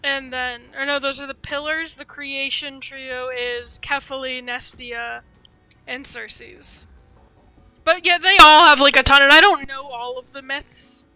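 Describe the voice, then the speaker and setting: A woman's voice: sits high, fairly even intonation, unhurried, bright, forceful tone, poor audio. A woman doing casual livestream game commentary, rambling and thinking aloud in a tentative way. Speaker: game streamer. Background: game music.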